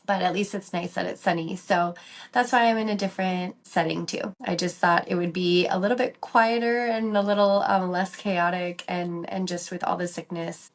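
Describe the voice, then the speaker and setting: A woman hosting her own podcast, her voice a bit raspy and lower than usual from a cold, talking in a chatty, rambling way. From South Dakota. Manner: chatty, rambling, friendly explainer. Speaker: podcast host, dental hygienist. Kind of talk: casual solo podcast talk. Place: workplace, on a lunch break.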